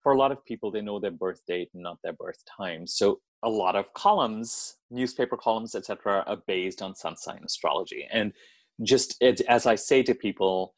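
A man from India, a podcast guest explaining astrology in a measured, wry way. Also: on the low side, dry recording. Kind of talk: casual podcast interview.

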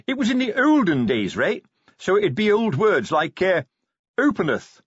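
A man doing a loud, high, know-it-all guardsman. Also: sing-song, moderate pace, slightly rough, dry recording, muffled recording, heavily acted. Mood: excited. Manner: loud, insistent.

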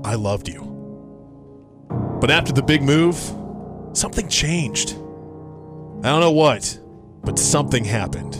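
Male morning-show host, fairly high and animated, casual with dramatic flair, music underneath. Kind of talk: casual hosting and dramatic review reading.

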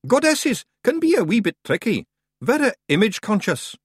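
A man's voice: high voice, big pitch swings, normal speed, breathy edge, no room sound, pitched above the performer's own voice, full character voice. A man voicing a small, cocky fairy man with a broad, boisterous Scottish accent in an audiobook. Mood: brash cheery. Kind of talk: audiobook character voice.

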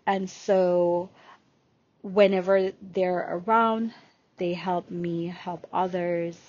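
Jamaican-born lady in her 40s, slight accent, talks real slow and stretches her words, kinda muffled lo-fi sound. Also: on the low side, darkish tone, no room sound. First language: Jamaican Patois. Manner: slow, unhurried, drawing out words in lists. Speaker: podcast host and spiritual healer. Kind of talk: unscripted podcast monologue. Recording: dull lo-fi recording.

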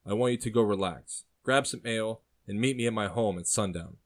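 A man voicing a stern, impatient older gentleman who is scarred and gray-bearded. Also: fairly low pitch, a little fast, close to an everyday voice. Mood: commanding. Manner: commanding, impatient, cold.

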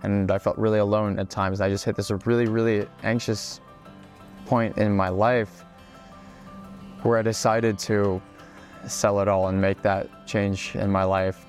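A young man raised in Hawaii, a guest on a casual podcast interview, talking in a relaxed way with plenty of fillers and restarts. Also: on the low side, unhurried, soft background music. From Czech Republic, raised in Hawaii. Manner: relaxed, many fillers and restarts, laughs often. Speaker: guest, travel creator.

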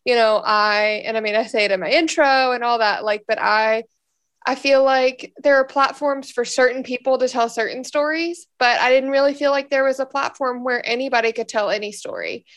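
A young woman hosting a casual podcast, her voice a little hoarse, talking in an upbeat, chatty way with many fillers, recorded from a hotel room. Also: on the high side, steady tone, normal speed, a bit bright. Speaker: podcast host. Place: hotel room, sitting on a bed.

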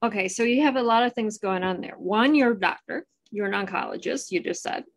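A woman hosting a podcast interview, chatty and quick to laugh. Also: mid-range voice. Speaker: podcast host. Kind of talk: casual interview chat.

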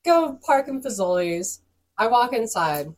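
A woman co-hosting a casual podcast, telling a profane, ranting story while her voice is stuffed up and going hoarse. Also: a little high, big pitch swings, a little slow. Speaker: podcast co-host.

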